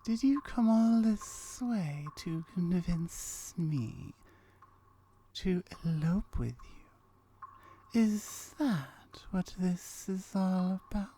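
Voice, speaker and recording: Woman voicing a slow, murmuring, wary gorgon woman. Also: lowish voice, lively intonation, dark tone, slight background noise. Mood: incredulous, curious. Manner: slow, drawn-out syllables, breathy murmuring. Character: aloof, wary, lonely female gorgon, slyly flirtatious. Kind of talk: ASMR roleplay acting.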